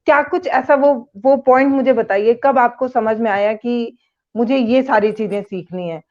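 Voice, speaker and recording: A woman's voice: fairly high pitch, moderate pace, highs cut off. A woman interviewing a guest, asking probing questions and backing her up with frequent hums. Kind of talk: casual interview conversation.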